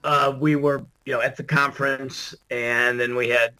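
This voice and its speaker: A hoarse, gravelly middle-aged man, worn out after an all-nighter, chatting as a podcast guest with lots of fillers and laughs. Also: medium pitch, flat delivery, normal speed, bright, forceful tone, soft background music, rough recording. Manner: rambling, laughs often, many fillers. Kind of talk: casual interview chat.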